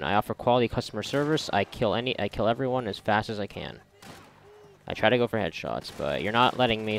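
A man's voice: fairly low pitch, creaky. A man giving casual, sarcastic, rambling and profane commentary as he plays a video game. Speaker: gamer commentating on a game playthrough. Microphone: close mic, voice-over on gameplay.

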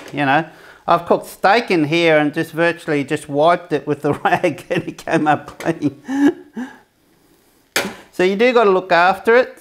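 A man's voice: fairly high pitch, a little hiss or hum. A man giving a casual, rambling cooking demonstration for his camping review channel, often restarting his sentences. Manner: chatty, rambling, self-correcting.

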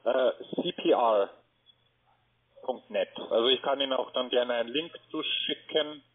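A man's voice: medium pitch, measured pace, husky. Call center guy, polite but kinda unsure, lots of uh's, phone-quality sound. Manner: polite, hesitant, many fillers, repeats back details. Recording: phone-line audio.

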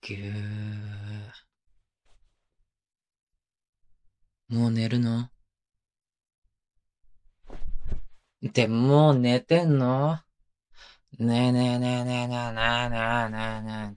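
A man's voice: mid-range voice, level tone, measured pace, a little mellow, close to an everyday voice. A male voice actor playing a playful, clingy, childlike young husband in an intimate ASMR drama, coaxing and teasing in a casual, hesitant way. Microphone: close microphone, binaural.

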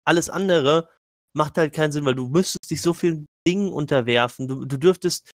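A man's voice: fairly high pitch, brisk, dry recording, studio quality. A man in a casual gaming debate, arguing insistently and often stumbling over repeated words. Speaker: podcast panelist.

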